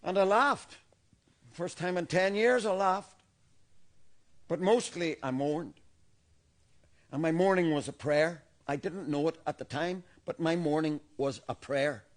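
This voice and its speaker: An actor with a Northern Irish accent, from Belfast, playing a paranoid, bigoted older grandfather who talks in a deadpan, matter-of-fact way. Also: on the high side, a little slow, long pauses, close to an everyday voice. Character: paranoid bigoted old grandfather, defensive and unhinged. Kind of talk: comedy sketch acting.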